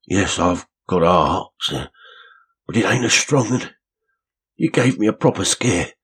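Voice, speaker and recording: A man reading aloud, voicing a shabby, nervous little male caretaker with a husky voice and rough grammar. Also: low-pitched, expressive, measured pace, fairly bright tone, full character voice. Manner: nervous, working-class grammar.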